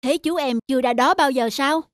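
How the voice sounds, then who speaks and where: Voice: high voice, brisk, fairly bright tone. An actor voicing a friendly, well-travelled adult swallow in a children's cartoon, enthusiastic and inviting. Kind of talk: animated children's cartoon dialogue. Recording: children's music behind dialogue.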